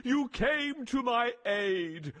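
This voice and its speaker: A man's voice: extremely high pitch, expressive, very slow, fairly bright tone, heavily acted. A man voicing a proud, roaring lion king in a storybook. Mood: grateful. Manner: roaring, boastful.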